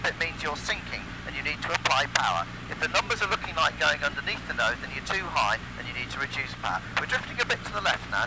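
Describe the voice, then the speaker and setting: A British man instructing a student pilot in a calm, encouraging, step-by-step way through a headset in a light aircraft. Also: fairly high pitch. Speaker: flight instructor. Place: small light aircraft cockpit in flight. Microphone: aviation headset. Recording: loud engine noise over aircraft intercom. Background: constant loud aircraft engine drone.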